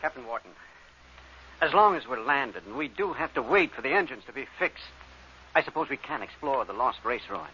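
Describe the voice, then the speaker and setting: A man's voice: mid-range voice, animated, fairly quick, bright, forceful tone. An actor playing a mild, subdued man aboard a stranded spaceship in an old science fiction radio drama. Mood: polite, hesitant. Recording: dull, noisy old radio recording.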